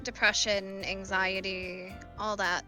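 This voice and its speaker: A woman's voice: medium pitch, flat delivery, slow pace, bright, forceful tone, soft background music. A woman chatting casually as a guest on a livestream. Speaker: guest co-streamer. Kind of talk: casual chat.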